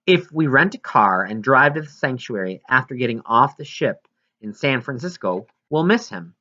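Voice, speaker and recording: A man's voice: medium pitch, sing-song, moderate pace, sharp tone, dull audio. A man reading the lines of a supportive, practical, easygoing grandmother in a storybook. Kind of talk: audiobook character dialogue.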